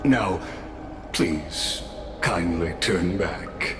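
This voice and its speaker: An actor voicing a formal, calculating robot gatekeeper in a video game, measured and unfailingly polite. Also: male voice, low-pitched, background noise. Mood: polite, firm. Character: courteous, calculating male robot watcher, long-lived gatekeeper. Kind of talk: scripted video game voice acting. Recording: echoing voice over clear background music.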